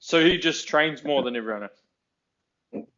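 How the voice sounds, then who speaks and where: A man interviewing someone in a casual chat, asking loose, halting questions that tend to trail off. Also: on the high side, a crowd in the background. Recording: dull lo-fi audio.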